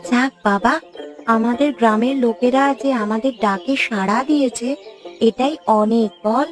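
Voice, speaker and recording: Woman voicing an earnest, high-voiced village girl. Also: moderate pace, lightly acted. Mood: hopeful. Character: earnest, hopeful young village girl organiser. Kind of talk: cartoon voice acting. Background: instrumental music.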